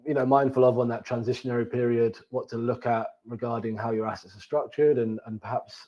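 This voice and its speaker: A man's voice: medium pitch, fairly even intonation, fast. Southern English man, slightly muffled webinar audio. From Cambridge, England. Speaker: wealth manager presenting as guest. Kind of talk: informal webinar presentation. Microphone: online webinar call.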